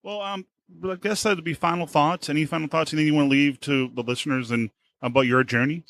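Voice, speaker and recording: A man with an American accent hosting a casual podcast interview, halting and rephrasing as he asks questions. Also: a little high, steady tone, fairly bright tone, no room sound. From United States. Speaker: podcast host.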